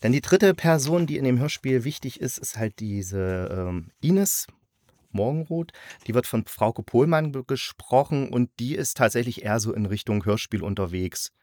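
Male review podcast host. Medium pitch, dry close sound, casual explanatory chat. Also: expressive, normal speed, darkish tone, dry recording. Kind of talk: casual conversational review with a guest.

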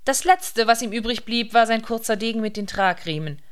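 A woman's voice: a little high, brisk, smooth. A woman narrating a radio play from a literary script.

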